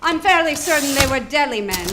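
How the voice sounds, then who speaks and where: A woman's voice: sits high, lively intonation, brisk. A woman playing a coy, brazen femme fatale client in a live comedic radio drama, with a theatrical, mock-innocent delivery. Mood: melodramatic. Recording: very noisy live theater stage recording.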